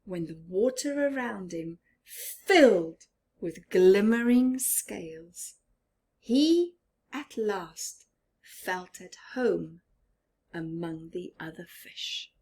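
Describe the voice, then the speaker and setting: A woman reading a children's storybook aloud expressively, with chatty asides and often hushed tones. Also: medium pitch, animated, extremely slow, breathy edge. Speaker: storyteller, teacher-like reader.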